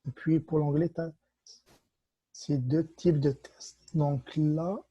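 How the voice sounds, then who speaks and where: Husky dark-toned man. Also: a little high, fairly even intonation, slow, no room sound, highs cut off. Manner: explains step by step, talks straight to the viewer and gives advice. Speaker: video tutorial host. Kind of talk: casual explainer walking through a website.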